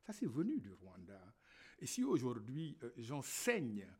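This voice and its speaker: Lively, halting man speaking. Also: medium pitch, husky. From Senegal. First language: Wolof. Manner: halting, many fillers and repeated words. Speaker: novelist and university lecturer. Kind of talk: reflective panel talk.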